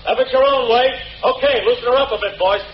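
An actor playing a commanding police detective calling out loudly in a vintage radio drama. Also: male voice, extremely high pitch, quick pace, muffled recording. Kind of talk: 1940s-50s radio detective drama acting. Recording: echoey, noisy old radio recording.

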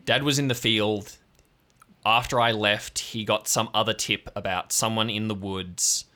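Audio drama role: authoritative, fed-up woman ranger in command, blunt and forceful male performer, low register. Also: steady tone, measured pace. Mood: stern, exasperated. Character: brusque, exasperated woman ranger confined to hospital bed. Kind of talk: improvised audio drama acting.

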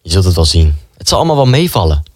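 A man acting an earnest, low-voiced young student. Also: big pitch swings, quick pace, a little hiss or hum. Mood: dismissive, reassuring. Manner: earnest, self-justifying. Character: stubborn, idealistic young male student drawn to fascism. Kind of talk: radio play dialogue and inner monologue.

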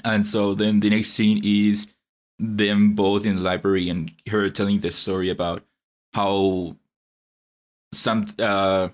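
A man's voice: on the low side, flat delivery, slow pace. A young man co-hosting a podcast in casual chat, earnestly explaining a film's story at length. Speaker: podcast co-host.

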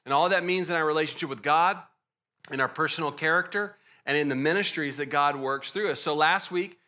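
A young man with a Texas accent hosts a church interview, his voice bright and a touch high for a man. He asks friendly, joking questions and often restarts his phrases. His delivery stays relaxed and conversational. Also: brisk. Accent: Texan. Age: young adult. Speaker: pastor and interviewer.

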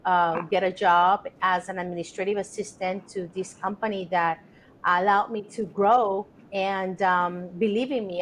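A woman's voice: medium pitch, a little hiss or hum, rough recording. A middle-aged woman from Colombia, a Spanish speaker, telling her story as a podcast guest in an earnest, reflective way with many fillers. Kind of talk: casual interview storytelling.

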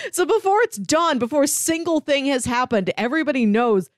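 A woman co-hosting a podcast, chatting casually and retelling a story in an expressive, conversational way. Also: sits high, normal speed, dry recording, clean studio sound. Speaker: podcast co-host.

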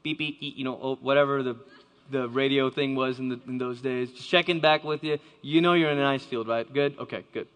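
A man's voice: mid-range voice, steady tone, fairly quick, hardly any pauses, a bit bright. A man giving a dramatic storytelling talk to a live audience, acting out the voices he quotes. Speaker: speaker. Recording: long hall reverb.

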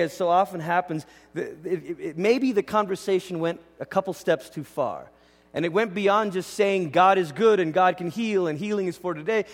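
Male pastor, from Malaysia, high voice, conversational teaching sermon, big reverberant hall. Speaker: pastor preaching. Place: large indoor church hall.

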